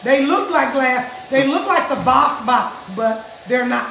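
A middle-aged woman with a raspy voice chatting casually to her viewers about juicing, recorded in her home kitchen on a phone. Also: high-pitched, lively intonation, unhurried, a bit bright, slight background noise. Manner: chatty, repeats herself, addresses viewers as guys. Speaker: juice vlogger. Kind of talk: casual live chat. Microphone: phone camera across the counter. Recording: roomy kitchen echo.

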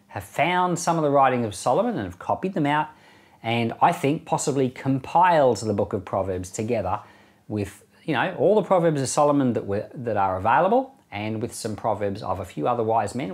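A middle-aged man speaking as a pastor in a measured, explanatory way, reading and explaining scripture. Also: medium pitch, slightly rough. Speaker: pastor, Bible podcast presenter. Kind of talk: Bible reading and informal commentary. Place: small indoor meeting room, seated.

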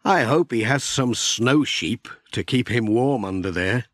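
A man voicing a kindly, gentle village postman in a children's audiobook, tender and exclaiming. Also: mid-range voice, animated, measured pace, no room sound, studio quality. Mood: friendly, wondering.

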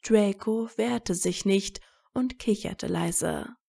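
A woman reading scripted romantic fiction as a narrator, with a measured storytelling delivery. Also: medium pitch, creaky, dark tone, slightly rough.

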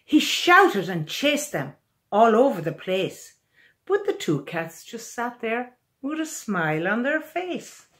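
An older woman in her sixties reads a children's picture book aloud in an animated, expressive way, recorded in a small room at home. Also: on the high side. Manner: animated, expressive, deliberate storytelling. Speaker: children's author reading own picture book. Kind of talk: storybook read-aloud for children.

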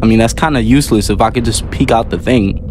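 A man's voice: mid-range voice, fast, background noise. A man giving casual, slangy gameplay commentary as a gaming video host. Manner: animated, slangy reactions.

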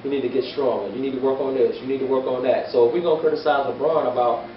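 A man's voice: medium pitch, steady tone, fast talker. A young man arguing sports in casual talk, emphatic and repeating his phrases, recorded by a distant camera in a barbershop. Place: barbershop, indoors. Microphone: far camera. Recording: echoey room, distant lo-fi mic, faint hiss.

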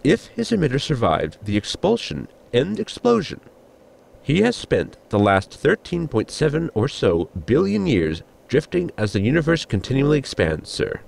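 A man playing a formal, pedantic science officer in a sci-fi comedy audio drama, with a gravelly voice and precise technical wording. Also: medium pitch, wildly swooping pitch, moderate pace, darkish tone, heavily acted. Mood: dry, formal.

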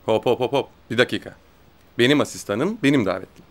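An actor playing an easygoing, joking office colleague in a TV drama. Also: male voice, mid-range voice, fast talker, background noise, natural voice. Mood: playful. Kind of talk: TV drama acting. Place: office, on set.

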